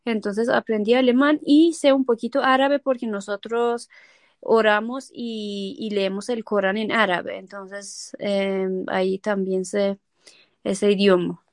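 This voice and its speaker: A woman's voice: mid-range voice, fairly even intonation, measured pace, no room sound, clean studio sound. A woman from Iran whose first language is Persian, a guest in a casual interview, talking hesitantly with many fillers and small laughs.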